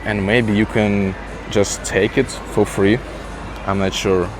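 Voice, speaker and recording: Slow, low-voiced man. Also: little pitch movement, music in the background. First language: Russian. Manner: chatty, curious, exclaims at things, many "like" fillers. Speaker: vlogger. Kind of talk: casual walking vlog for English learners. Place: city streets outdoors and inside a small shop.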